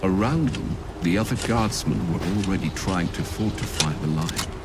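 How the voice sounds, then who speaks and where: A man's voice: deep voice, normal speed. A man narrating a scripted war audio drama in a dramatic storytelling style. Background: loud music with battle effects.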